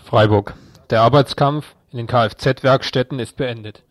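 Male radio news reporter, medium pitch, scripted read, dry acoustic. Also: a little slow, no echo.

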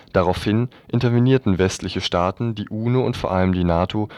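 A man's voice: deep voice, a little mellow, no room sound. A man reading scripted radio news in a sober, matter-of-fact manner.

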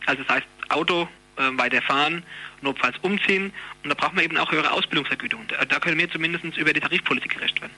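Fast, bright-voiced husky man. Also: fairly high pitch, low-quality recording. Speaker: trade union youth representative being interviewed. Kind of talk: informal spoken interview.

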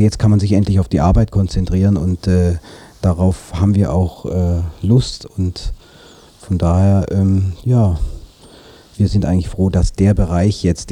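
A man giving spontaneous interview answers as a spokesman, deliberate and often pausing mid-sentence. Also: low-pitched, warm tone, no room sound. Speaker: arts association spokesman, interview guest.

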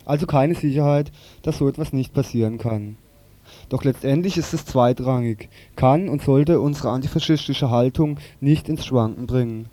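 A man's voice: mid-range voice, normal speed, warm tone. A man reading a scripted political commentary on the radio in a formal way, with occasional slips.

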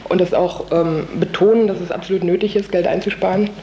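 A man's voice: high voice, fairly even intonation, brisk, warm tone. A man working as a radio interviewer, putting questions in a conversational, slightly tentative way. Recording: roomy, echoey, faint background noise.

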